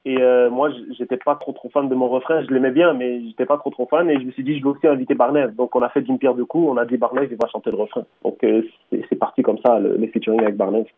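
Fast-talking rapper over a phone line. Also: male voice, mid-range voice, steady tone. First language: Tunisian Arabic. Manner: rambling, full of restarts and fillers. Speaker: rapper, interview guest. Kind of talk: radio interview answers.

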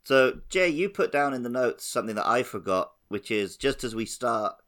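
A man's voice: mid-range voice, expressive, moderate pace, fairly bright tone. A man co-hosting a gaming podcast, chatting casually with a cheeky, playful manner. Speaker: podcast host. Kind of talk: casual chat.

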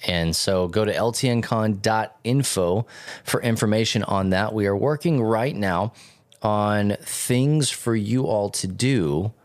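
A man's voice: lowish voice, measured pace, no echo, clean studio sound. A man from Texas hosting a podcast in casual chat, rambling and correcting himself as he goes. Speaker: podcast host.

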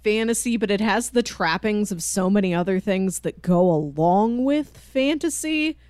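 A woman's voice: mid-range voice, expressive, moderate pace, a little mellow, clear, resonant tone, no room sound, clean studio sound. A woman co-hosting a podcast, talking casually and conversationally about books. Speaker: podcast co-host. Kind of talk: casual book discussion chat.

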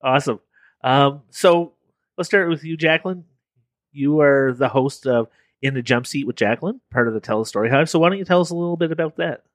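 A man's voice: a little high, fairly quick, dry recording, clean studio sound. A man hosting a food podcast, casually interviewing guests at a film festival, upbeat and laughing often. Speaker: podcast host.

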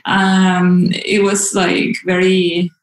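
A woman's voice: fairly low pitch, completely flat delivery, drawn-out words, reverberant, rough recording. A woman from Venezuela whose first language is Spanish, speaking as an interview guest over a video call, hesitant and full of ums and restarts. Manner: hesitant, many ums and restarts, laughs often. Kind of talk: casual remote interview.

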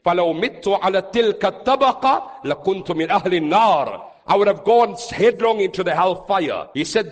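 A man preaching an impassioned Islamic sermon, speaking dramatically with rhetorical questions, emphatic repetition and Arabic quotations. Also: high-pitched. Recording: long reverberant hall echo.

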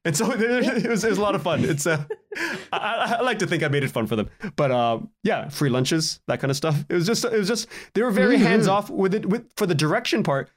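Higher-voiced man telling stories casually. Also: fairly quick. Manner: animated storytelling, acts out quoted exchanges, chuckles often. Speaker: guest, audio drama writer and director. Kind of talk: casual podcast interview, anecdotal.

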